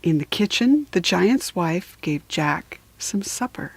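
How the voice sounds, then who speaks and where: A woman reading a children's story aloud for beginning readers, measured and deliberate, with storytelling emphasis. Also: fairly low pitch, animated, creaky, slight background noise. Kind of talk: slow, careful read-aloud for beginning readers.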